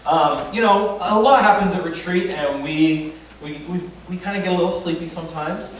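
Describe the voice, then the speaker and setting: A man's voice: high voice, normal speed, recorded from a distance, slight background noise. A man preaching a casual, conversational sermon at a church retreat, with lots of fillers and self-deprecating humor. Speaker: pastor. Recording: very echoey room, voice from afar.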